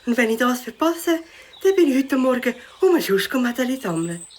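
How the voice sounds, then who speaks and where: A woman playing a hurried, excitable catfish in a children's audio play, with a Swiss German accent. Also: high voice, a little hiss or hum. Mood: rushed. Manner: hasty, excitable. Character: hurried catfish on a scooter, keen angler.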